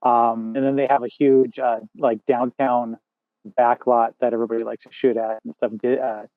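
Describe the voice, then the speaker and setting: A man with a big booming voice, a film assistant director chatting as an interview guest, rambling with many fillers and restarts. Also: level tone, normal speed, no echo. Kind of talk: casual interview chat. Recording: dry tinny phone-like sound.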